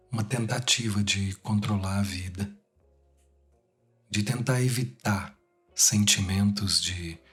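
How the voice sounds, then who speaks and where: A Brazilian man leads a guided meditation in a low, slightly husky voice. He speaks very slowly, in short phrases separated by long pauses. Soft music plays behind him.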